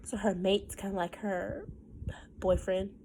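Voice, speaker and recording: A woman's voice: mid-range voice, fairly even intonation, a little slow, warm tone, a little hiss or hum. A woman with a Southern US accent, a teacher reading a picture book aloud to children in a sing-song way with folksy, casual asides.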